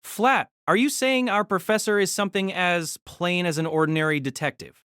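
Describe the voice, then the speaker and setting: A woman's voice: lowish voice, normal speed, a bit bright, clean tone, dry recording, studio quality, natural voice. A woman voicing a fierce, indignant young male student with a scolding manner in a story.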